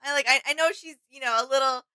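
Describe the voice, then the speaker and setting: Female co-host, high-pitched, bright clear-cut tone, casual, agreeable chat. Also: fairly even intonation, quick pace. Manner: agreeable, chiming in. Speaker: co-host of a reaction channel.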